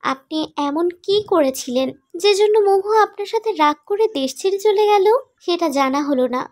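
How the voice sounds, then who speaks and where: A woman playing a concerned, questioning young friend in an animated romantic drama. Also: extremely high pitch, normal speed, dry recording, studio quality. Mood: curious, concerned.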